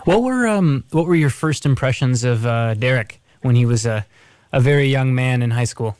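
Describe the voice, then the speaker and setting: A man co-hosting a radio show in a conversational, measured way, with hesitations and repeated words. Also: medium pitch, animated, a little mellow, clear, resonant tone, no echo.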